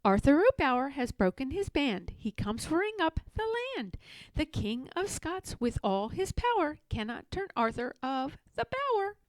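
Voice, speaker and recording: A woman's voice: high voice, very animated, moderate pace, no room sound, voice pushed up. A woman voicing an impertinent young male squirrel who chants teasing rhymes in a storybook. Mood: boisterous. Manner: sing-song, chanting, taunting. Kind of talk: storybook character voice.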